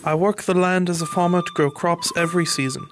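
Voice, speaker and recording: A man's voice: high voice, steady tone, fairly quick, music in the background, natural voice. A man playing an earnest, humble medieval peasant farmer in an audio drama, with a pleading, hesitant manner. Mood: solemn. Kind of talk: audio drama acting and narration.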